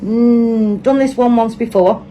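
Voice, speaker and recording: A woman's voice: fairly high pitch, fairly even intonation, measured pace, dark tone, a little hiss or hum, rough recording. A woman with a Manchester accent, chatting and thinking aloud as she demonstrates a resin craft project at her table. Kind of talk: casual hands-on tutorial.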